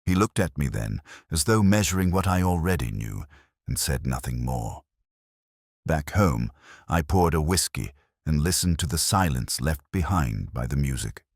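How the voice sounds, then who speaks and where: A man playing a brooding, erudite middle-aged detective who narrates his case in a measured, literary, reflective way, as scripted mystery narration. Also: rumbling low, animated, no room sound, studio quality, exaggerated voice.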